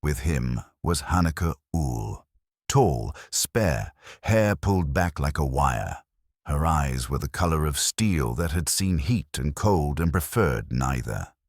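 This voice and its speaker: A man's voice: extremely low pitch, lively intonation, takes it slowly, husky, no echo. A man reading a hard-boiled detective story in the first person as scripted audiobook narration, with a dry, wry manner.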